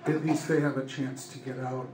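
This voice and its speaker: A young man doing deadpan stand-up comedy in short one-liners into a handheld microphone on a club stage. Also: mid-range voice, moderate pace. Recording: echoey club room with loud noise. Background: loud noise, audience laughter.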